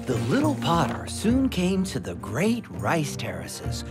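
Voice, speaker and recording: A woman narrating a children's cartoon fairy tale as a lively, playful storyteller. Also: deep voice, slow pace, exaggerated voice. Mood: storytelling. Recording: music behind the voice.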